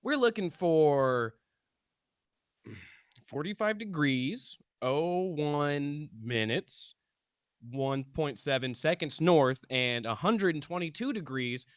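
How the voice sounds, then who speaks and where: A man's voice: a little high, dry recording, studio quality. A man playing a breezy young stoner who works as an amateur paranormal investigator, offhand yet earnest, in a live table read.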